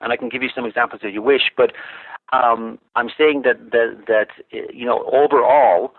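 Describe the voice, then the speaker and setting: A man's voice: mid-range voice, steady tone, moderate pace, no room sound. A man from Ireland, a talk-show guest calling in by phone, explaining his views thoughtfully and restarting his sentences often. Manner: thoughtful, with frequent restarts and filler words. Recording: tinny dry phone line.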